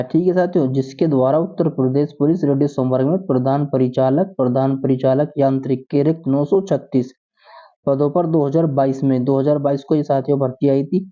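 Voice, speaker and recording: Male explainer, slightly high pitch, informal notice-reading delivery, thin telephone-band audio. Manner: addresses viewers as friends, repeats and paraphrases. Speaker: host of a job exam update channel. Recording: tinny, narrow phone-like sound.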